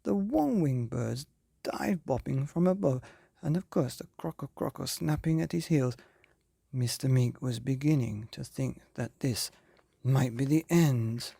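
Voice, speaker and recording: A man hosting and narrating a children's bedtime story in a theatrical way, with drawn-out emphasis and hushed phrases. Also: mid-range voice, animated, gravelly, breathy edge, dry recording, clean studio sound. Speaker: podcast host and storyteller.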